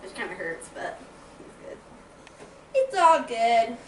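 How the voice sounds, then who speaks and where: A woman chatting casually while peeling apples, easygoing and often trailing off softly. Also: fairly high pitch, big pitch swings, slow. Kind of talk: casual chat. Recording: roomy, with chatter of people around.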